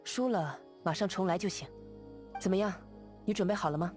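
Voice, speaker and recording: An actress voicing a calm, composed young woman duelist in a game, speaking in a steady, measured, quietly confident way. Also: medium pitch, soft background music, natural voice. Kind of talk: game character voice lines.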